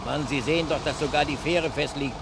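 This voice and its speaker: Gravelly, grumbling local man. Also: on the high side, a little fast. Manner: grumbling, reluctant. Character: gruff, grudging self-employed local boatman or driver. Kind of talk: German radio crime drama acting. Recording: noisy outdoor scene with engine.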